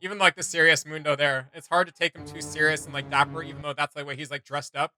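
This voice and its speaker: A man's voice: on the high side, level tone, fast, sharp tone, soft background music. A man giving casual reaction commentary as a video host, thinking aloud in a rambling way with trailing asides.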